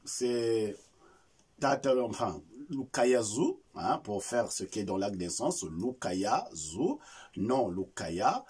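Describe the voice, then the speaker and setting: A man from the Republic of the Congo, a traditional spiritual teacher, answering interview questions in a slow, solemn, measured way. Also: on the low side, very animated, a little mellow, slightly rough. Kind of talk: interview answers mixing a ritual invocation.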